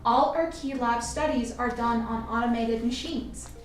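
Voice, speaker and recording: A woman's voice: fairly high pitch, a little slow, natural voice. A woman playing an earnest, nervous medical technician in a radio play. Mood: explaining. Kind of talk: amateur radio play acting. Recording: very echoey room, distant, faint music.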